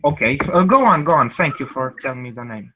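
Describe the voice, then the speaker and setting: A man's voice: mid-range voice, expressive, brisk, muffled recording, background noise. An Australian man with an Australian accent, chatting casually as a panelist on an online group call. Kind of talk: casual chat.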